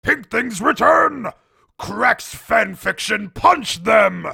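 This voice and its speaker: A gravelly, shouting caveman brute. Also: high voice, lively intonation, extremely slow, no room sound, studio quality, exaggerated voice. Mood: furious. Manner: shouting in clipped, broken third-person phrases. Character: dim, angry caveman warlord speaking in broken grunts. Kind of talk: comedic game-character voice lines.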